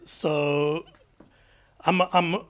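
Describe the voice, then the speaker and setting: A man, speaking as a podcast guest. His voice sits a little higher than most men's. He talks in a relaxed, thoughtful way, pausing and restarting as he works through his answers. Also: clean tone. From London, England. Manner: reflective, with frequent restarts and fillers. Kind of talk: casual interview chat.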